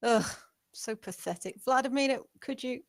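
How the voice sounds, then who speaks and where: A woman's voice: a little high, normal speed, no room sound, clean studio sound, lightly acted. A woman playing a weak, grumpy male spaceship crewman, sardonic and exasperated, in a comedy table read. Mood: dejected.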